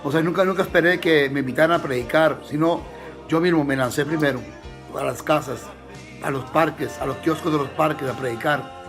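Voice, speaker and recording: A man born in the United States, a missionary pastor giving his testimony in an interview in an earnest, halting way with many restarts. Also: fairly high pitch, over music.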